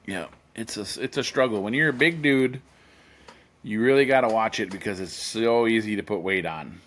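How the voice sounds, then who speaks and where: 50 yr old guy chatting on a podcast, lowish voice, rambles w lots of ums and restarts, earnest. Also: moderate pace, fairly bright tone. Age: 50s. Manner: rambling, many fillers and restarts. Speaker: podcast co-host. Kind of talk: casual chat.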